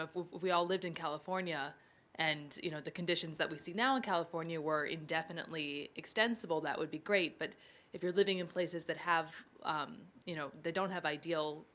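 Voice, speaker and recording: A woman with a slightly low voice, speaking on an academic panel. She thinks aloud in a measured, thoughtful manner and qualifies her points as she goes. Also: a bit bright.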